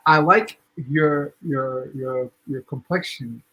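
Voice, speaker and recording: A man's voice: medium pitch, takes it slowly, sharp tone, low-quality recording. A man from Ghana co-hosting a podcast, telling a story in a chatty way with constant checks on the listener. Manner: chatty, repeats "you know what I'm saying". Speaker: podcast co-host. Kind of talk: casual storytelling.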